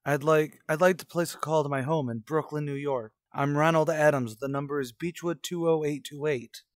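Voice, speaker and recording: A man's voice: on the high side, steady tone, normal speed, dry recording, clean studio sound, close to an everyday voice. An actor playing a haunted, unravelling young man in an old-time radio suspense drama, with a brooding, dramatic delivery. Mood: hesitant.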